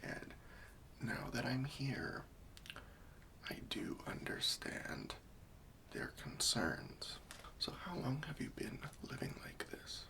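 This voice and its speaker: Man acting a gentle whispering cleanup worker, creaky voice. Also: medium pitch, very animated, slow pace, pauses often, full character voice. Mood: tactful, reassuring. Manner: soft, hesitant, soothing, politely tactful. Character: gentle, patient, faintly wry professional hoarding cleanup worker, male. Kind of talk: whispered ASMR roleplay.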